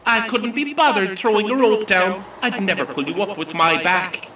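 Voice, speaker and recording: An actor with an Irish accent playing a brash, sarcastic coach tour guide in an audio drama, theatrical and teasing. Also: male voice, high-pitched, normal speed, sharp tone, slight background noise. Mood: teasing showman.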